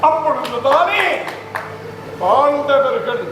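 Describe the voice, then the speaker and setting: A man's voice: very high voice, lively intonation, takes it slowly, noisy background, heavily acted. A man playing an arrogant, defiant prince on stage, declaiming with scorn. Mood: scornful. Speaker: stage actor. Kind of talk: stage play acting. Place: auditorium stage. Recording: echoey hall recording.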